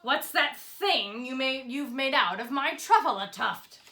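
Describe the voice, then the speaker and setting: Woman acting as indignant old male storybook creature, bossy, loud scolding, sharp forceful tone, roomy echo. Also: sits high, expressive, a little slow, exaggerated voice.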